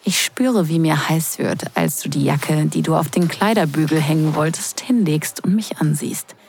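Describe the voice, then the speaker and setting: A woman acting in an intimate audio drama as a teasing wedding guest who is drawn in, breathy and hushed. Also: low voice, full character voice. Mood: wary, curious. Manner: breathy, hushed, slow and sensual delivery.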